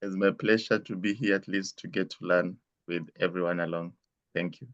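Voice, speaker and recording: A man's voice: deep voice, fairly even intonation, moderate pace, fairly bright tone, dull audio. A man introducing himself politely and modestly to an online class. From Kenya. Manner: polite, modest, with a small laugh. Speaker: class participant. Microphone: video call.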